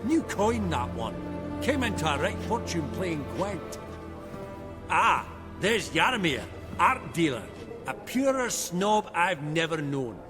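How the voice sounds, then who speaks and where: Dwarf banker for a game, high swoopy voice, chummy and bossy, echoey room. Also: male voice, full character voice. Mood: sociable. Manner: colloquial, blustering, swearing. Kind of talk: video game voice acting.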